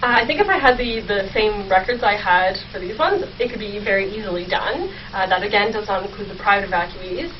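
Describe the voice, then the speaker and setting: A young woman researcher explaining her work as an interview guest, speaking thoughtfully and haltingly with short pauses. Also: mid-range voice, lively intonation. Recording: dull sound, faint noise, distant mic.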